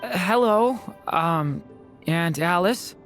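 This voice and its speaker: Soft-hearted hesitant young lad, game voice, lively intonation, earnest. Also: female voice, on the low side, takes it slowly, faint music behind. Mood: uncertain. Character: gentle, hesitant, earnest young dragon boy in human form. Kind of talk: video game voice acting.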